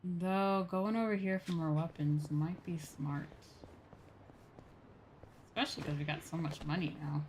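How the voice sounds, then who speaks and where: Slow, low-toned woman streaming a game. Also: steady tone, a little mellow, a little hiss or hum, low-quality recording. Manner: apologetic, musing aloud to herself. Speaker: streamer playing a video game. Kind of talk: casual gameplay commentary. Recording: faint game music behind. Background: video game music.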